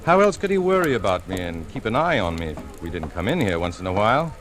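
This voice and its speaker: An actor playing a mild, secretive husband in the soothing, evasive style of 1940s radio drama. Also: male voice, low-pitched, sing-song, fast talker, a bit bright. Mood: placating. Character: secretive husband, outwardly mild, quietly brooding. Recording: noisy old radio broadcast recording.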